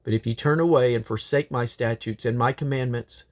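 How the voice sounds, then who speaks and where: A man's voice: mid-range voice, steady tone, brisk. A man reading scripture aloud in a solemn, declamatory way, with the occasional slip and correction. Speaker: Bible reader.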